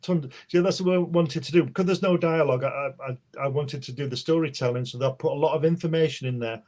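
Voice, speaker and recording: A British comic book artist, a man, chatting as a guest on a video call, brisk and jokey. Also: on the high side, darkish tone. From Britain. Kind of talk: casual chat.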